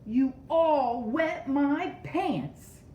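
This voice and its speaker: A woman's voice: high voice, animated, very slow, warm tone, voice pushed up, full character voice. A woman voicing an indignant, self-important male storybook character who accuses his friends with sarcastic melodrama. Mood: indignant, aggrieved. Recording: roomy echo, dull sound, faint noise.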